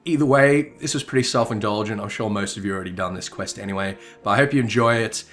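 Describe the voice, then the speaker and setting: Low-voiced man doing game commentary. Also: fast, a bit bright. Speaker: gaming video creator. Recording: music behind.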